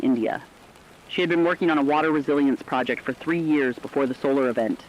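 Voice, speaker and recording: A man narrating scripted human stories for a radio broadcast in a solemn, plain-spoken, deliberate manner. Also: mid-range voice, lo-fi sound, slight background noise.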